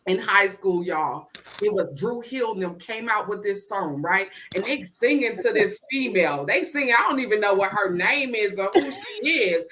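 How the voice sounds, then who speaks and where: A woman's voice: animated, moderate pace, fairly bright tone. A woman giving an inspirational spoken-word talk, with a repetitive, rhythmic preaching cadence and call-outs to the crowd. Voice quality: deep voice. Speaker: motivational speaker.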